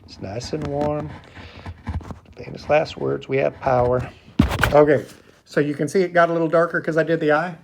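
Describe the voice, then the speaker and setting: A man's voice: fairly high pitch, flat delivery, normal speed, creaky, pauses often. A man teaching art in an informal, chatty, rambling hands-on demonstration, easily drifting into asides. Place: at home, indoors. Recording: noisy home recording, clicks.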